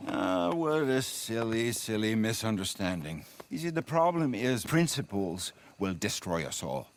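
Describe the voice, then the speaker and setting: Florid, slippery, lively mayor. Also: male voice, lowish voice, a little slow, slight background noise. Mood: placating. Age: middle-aged. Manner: florid, theatrical, ingratiating. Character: slippery, genteel, self-important middle-aged male town mayor. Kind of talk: video game cutscene acting.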